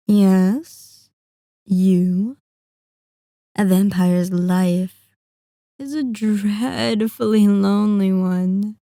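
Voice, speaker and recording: A woman playing a possessive, sadistic female vampire in an ASMR roleplay, seductive, menacing and condescending, teasing and savoring each phrase with pauses. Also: mid-range voice, mellow, no room sound, studio quality. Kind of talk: scripted ASMR roleplay monologue.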